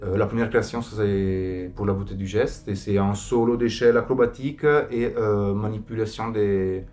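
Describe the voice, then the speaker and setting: A man's voice: fairly low pitch, steady tone, moderate pace, warm tone. Man over music. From abroad, outside France. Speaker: circus acrobat, company founder. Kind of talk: informal interview about own work.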